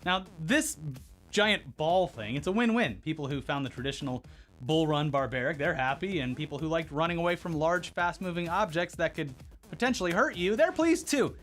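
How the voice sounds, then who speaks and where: A man co-hosting a scripted comedy news show, animated and sarcastic, laughing often. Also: a little high, moderate pace, faint music behind. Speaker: co-host.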